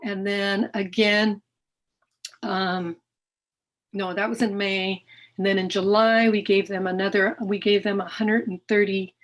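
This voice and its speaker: A woman's voice: mid-range voice, little pitch movement, a little slow. A middle-aged woman giving an earnest presentation to a club about her nonprofit's work, with many fillers and restarts. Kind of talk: presentation talk with slides.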